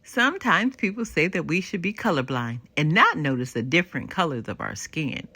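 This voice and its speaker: An older woman reading a children's story aloud in an expressive, emphatic storyteller's manner. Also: low voice, normal speed, slightly rough, dry recording. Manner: animated, emphatic delivery.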